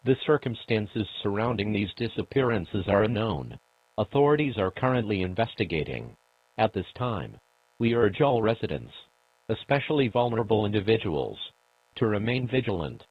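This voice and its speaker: A man delivering a formal scripted emergency broadcast as an announcer, solemn, measured and authoritative. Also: lowish voice, very animated, husky, dry recording. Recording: dull lo-fi broadcast audio.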